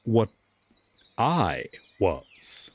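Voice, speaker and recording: A man playing a brooding, courteous Fae lord in a roleplay audio drama, guarded but reluctantly kind, speaking formally with dramatic pauses. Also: lowish voice, huge pitch swings, breathy edge, heavily acted. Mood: grave, guarded.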